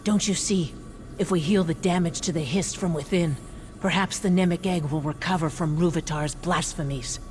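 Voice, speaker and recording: A woman playing an aging lizardfolk officer in a fantasy game, solemn and formal with dry wit, speaking in measured phrases. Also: lowish voice, a little mellow, soft background music, natural voice. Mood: wondering. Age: older. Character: aging female lizardfolk officer, solemn, reverent, wryly joking. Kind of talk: fantasy game character acting.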